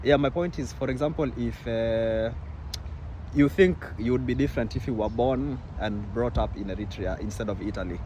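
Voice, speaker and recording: Young guy from kenya chatting casually, medium pitch, bit of noise outside. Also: normal speed, warm tone. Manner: probing, questioning. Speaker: vlog host, interviewer. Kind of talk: casual chat.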